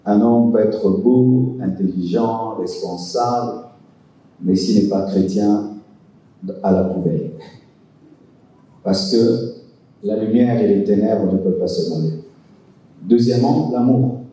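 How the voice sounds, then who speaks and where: Man, dark mellow voice, earnest preaching tone, echoey hall from far off. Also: fairly low pitch. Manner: earnest, preaching with emphatic pauses. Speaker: pastor answering couples' questions. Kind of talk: sermon-like advice at a conference.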